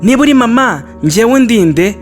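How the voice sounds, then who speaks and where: Man as clever little goat, high voice, suspicious, mocking, storybook, faint music. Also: animated, normal speed. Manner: challenging, mocking.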